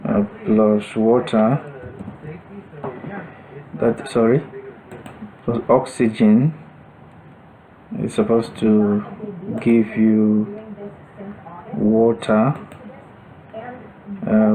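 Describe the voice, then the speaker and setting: A man giving an unscripted step-by-step software demonstration, thinking aloud in a halting manner. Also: fairly low pitch, level tone, poor audio. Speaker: software tutorial instructor.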